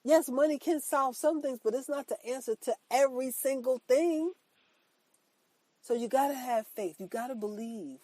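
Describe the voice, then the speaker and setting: A woman's voice: high-pitched, moderate pace, warm tone, dry recording. Congested woman giving emphatic faith encouragement. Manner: earnest, repetitive, emphatic preaching; affirming herself with "that's right". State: congested from a cold. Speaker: podcast host. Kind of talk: motivational faith talk.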